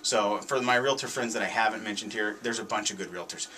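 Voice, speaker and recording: A man with a bright, forward voice hosts a talk radio show. He speaks conversationally but emphatically, circling back to repeat his points. There is faint noise behind him. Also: lowish voice, fast. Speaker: radio show host, realtor.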